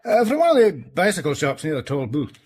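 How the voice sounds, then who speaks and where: A man's voice: a little high, huge pitch swings, brisk, darkish tone, breathy edge, exaggerated voice. A man playing a dutiful, plain-spoken police inspector with a Scottish accent, deferential and practical, in a radio drama. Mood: matter-of-fact. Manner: matter-of-fact, deferential.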